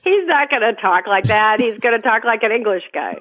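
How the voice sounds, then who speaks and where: Woman film critic on a phone line; brisk, enthusiastic delivery, lo-fi phone audio. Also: a little high, animated. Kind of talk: phone-in movie reviews.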